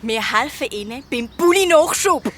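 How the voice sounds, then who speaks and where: A woman's voice: high-pitched, animated, moderate pace, fairly bright tone, a little hiss or hum. A woman playing a bossy, eager young girl detective in a children's audio drama, with a commanding, impatient manner. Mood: brisk, confident.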